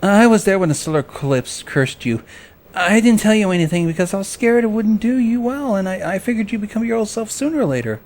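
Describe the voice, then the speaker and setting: A performer voicing an earnest young male dragon, helpful and a little exasperated, in an animated fan drama. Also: medium pitch, brisk, close to an everyday voice. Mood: earnest confession. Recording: music behind the voice. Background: background music.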